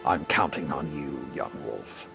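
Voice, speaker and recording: An actor playing a grave, stern veteran commander in a video game, with a gravelly voice and a solemn, commanding, deliberate delivery. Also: male voice, a bass voice, exaggerated voice. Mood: grave resolve. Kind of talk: dramatic video game dialogue. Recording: dramatic music behind the voice.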